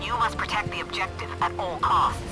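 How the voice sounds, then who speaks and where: Actor as a clipped military commander, music behind. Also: male voice, high voice, fairly quick, close to an everyday voice. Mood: stern. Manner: clipped, authoritative, formal jargon. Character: stern, businesslike military commander briefing an operative by radio. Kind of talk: military shooter game voice acting.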